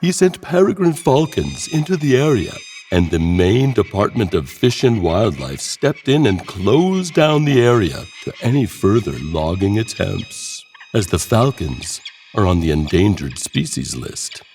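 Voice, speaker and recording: A man narrating a scripted horror audio drama as a theatrical storyteller, with dramatic pauses. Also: lowish voice, sing-song, a little mellow, slight background noise. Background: music.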